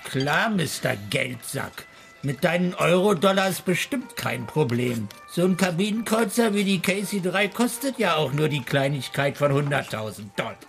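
A man's voice: a little high, big pitch swings, moderate pace, creaky, soft background music. A man acting a boisterous, wisecracking drinking buddy in a German radio drama. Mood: sarcastic.

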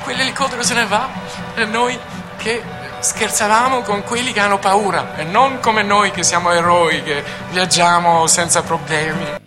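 Storyteller, colloquial, mid pitch, recounting a memory, clear music underneath. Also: steady tone, moderate pace. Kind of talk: storytelling of a remembered event.

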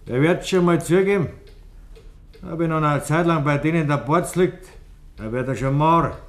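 Village yes-man played by a man, Bavarian, old radio drama: raised pitch, simple, evasive. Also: moderate pace, natural voice. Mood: gossiping. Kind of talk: 1950s German dialect radio drama acting.

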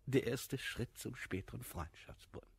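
A gushing, husky, vain courtier poet. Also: male voice, medium pitch, a little slow, exaggerated voice. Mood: effusive, self-important. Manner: effusive, flattering, affectedly modest, theatrical. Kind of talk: classical verse comedy radio acting.

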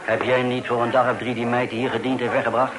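A man's voice: medium pitch, little pitch movement, quick pace, lightly acted. Man playing a blunt tavern keeper, noisy crowd. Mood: insistent. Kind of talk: radio drama acting. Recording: radio play with loud tavern chatter.

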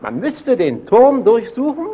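An actor playing a needling, evasive, resentful lighthouse keeper in an old German radio drama, with deliberate, insinuating theatrical phrasing. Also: male voice, very high voice, huge pitch swings, full character voice. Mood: accusing, threatening. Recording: dull, noisy old radio recording.

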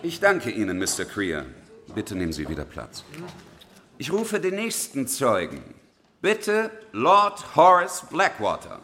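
An actor playing a formal Victorian public prosecutor in a German radio drama, declaiming and probing with theatrical authority. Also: male voice, mid-range voice, wildly swooping pitch, unhurried, bright, forceful tone, exaggerated voice.

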